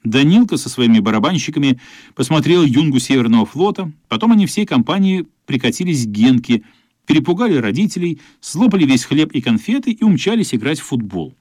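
A man's voice: a little high, big pitch swings, fairly quick, a little mellow, dry recording. A man narrating a children's radio play as a storyteller. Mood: measured storytelling.

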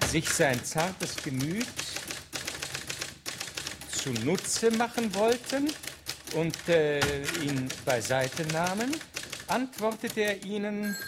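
Harried, irritable writer, swooping pitch. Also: male voice, noisy background, exaggerated voice. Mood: halting, solemn dictation. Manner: exasperated, blustering, halting when dictating. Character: harried, irritable male writer of pious saints' biographies. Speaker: actor in a radio play. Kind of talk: German radio drama acting.

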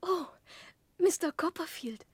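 A girlish pampered young lady, really high sweet voice, coy, old radio play, super dry sound. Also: lively intonation, takes it slowly, no room sound, heavily acted. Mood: sweet, delighted. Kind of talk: 1960s German radio drama acting.

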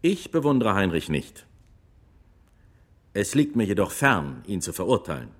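An actor playing a retired, war-weary army officer who testifies gravely and emphatically in a courtroom radio drama. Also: male voice, on the low side, big pitch swings, moderate pace. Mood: firm, reflective.

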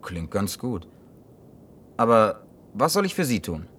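An actor playing an awkward, grumbling drifter in a German science-fiction radio play, speaking colloquially, hesitant and gruffly excitable. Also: male voice, fairly low pitch, unhurried, recorded from a distance, slight background noise. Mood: wary, puzzled. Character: awkward, grumbling male drifter, last man on earth.

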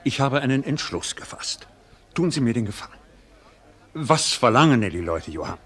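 A genteel pastor in a radio drama, with a medium-pitched voice. He speaks formally and courteously, with righteous earnestness. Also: very animated, moderate pace, fairly bright tone. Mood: solemn, resolved. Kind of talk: theatrical German radio play acting.

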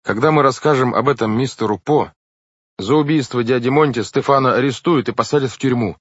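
A man's voice: mid-range voice, brisk, breathy edge, no echo, pitched above the performer's own voice, close to an everyday voice. A man voicing a clever, earnest, bookish boy from a storybook, explaining things emphatically. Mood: hopeful, emphatic. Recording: dull, lo-fi, dry recording.

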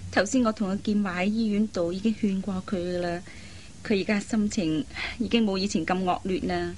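An actress playing a loyal young adult daughter in a Cantonese radio drama, speaking earnestly and pleadingly in carefully articulated speech. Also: female voice, mid-range voice, steady tone, brisk, darkish tone, slight background noise, close to an everyday voice. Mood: earnest, consoling.

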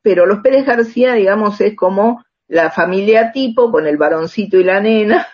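Husky Argentine woman in reflective interview. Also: medium pitch, normal speed, lo-fi sound. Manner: checks in with rhetorical tags. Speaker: interviewed author and radio drama researcher.

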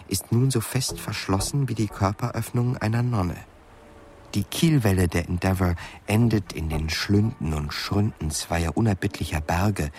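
A man narrating a radio play in scripted, dramatic literary prose. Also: deep voice.

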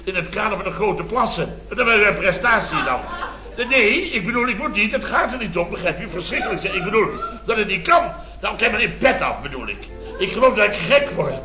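A man's voice: sits high, sing-song, brisk, dull audio, a crowd in the background. A roomy old radio comedy recording with an audience behind it. a pompous company boss with a raised voice, who sputters indignantly and repeats his words in exasperation. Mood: flustered. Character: blustering, exasperated male boss of a building firm.

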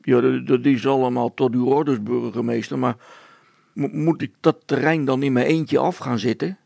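A man plays a plodding village policeman from a children's story. His voice is very creaky and rough, with a mocking, sceptical, grumbling way of speaking. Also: medium pitch, steady tone, normal speed, a little mellow, no room sound. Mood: grumbling, reluctant. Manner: mocking, plain-spoken, grumbling.

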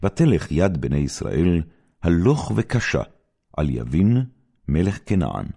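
A man reading scripture as a narrator, in a solemn, formal, dramatic style with measured, declamatory phrasing. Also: low-pitched, sing-song, gravelly, dark tone.

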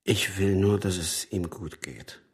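A man's voice: low-pitched, unhurried, husky, exaggerated voice. An actor playing a subdued, rueful estranged man in a naturalistic radio play.

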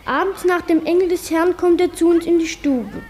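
A woman's voice: very high voice, steady tone, moderate pace, darkish tone. A female performer playing a shy, earnest little schoolgirl in a German radio drama, with hesitant, childlike answers. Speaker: actor in a radio play.

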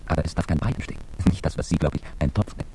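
A man's voice: a bass voice, racing. An actor playing a coolly polite, determined Chinese army horseman, giving precise, courteous instruction in a radio drama with background noise.

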